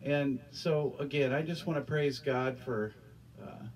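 A man's voice: mid-range voice, fairly even intonation, unhurried, distant mic, low-quality recording. A middle-aged man preaching and praying as pastor in a small church, earnest, often repeating his words, speaking into a handheld microphone. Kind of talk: sermon and prayer. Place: small church stage with curtains.

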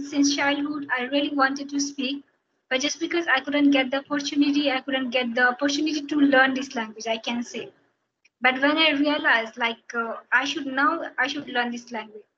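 A woman from Bihar with an Indian accent and native Hindi, explaining steadily as an English-teacher guest on a video call. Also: sits high. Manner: steady, explanatory, repeats phrases while thinking. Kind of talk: casual interview on a video call. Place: small room indoors. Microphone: headphones on a video call. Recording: dull lo-fi video call audio with faint noise.

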